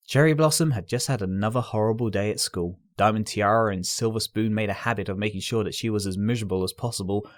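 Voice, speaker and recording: A man reading a scripted story aloud as narrator, in an expressive, earnest and dramatic storytelling style. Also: on the low side, no echo, studio quality.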